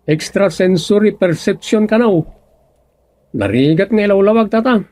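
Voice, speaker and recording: A male actor playing an excitable, dramatic village man in an Ilocano radio comedy drama, with an Ilocano Filipino accent and a creaky voice. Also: high voice, moderate pace. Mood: earnest, explaining.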